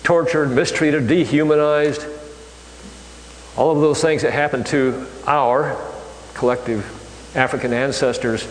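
An older man delivers a sermon in an easygoing, halting way, stopping often to restart his sentences. His voice rings through a large, echoey hall with a long tail of reverb. A faint hum sits underneath. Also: medium pitch, steady tone. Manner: conversational, halting, many fillers and restarts. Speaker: preacher. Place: church hall.

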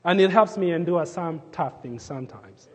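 A man from Kenya, preaching a sermon and telling a story with fillers and restarts, sometimes dropping his voice to a hush. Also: fairly high pitch, moderate pace, breathy edge, in a large hall. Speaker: pastor.

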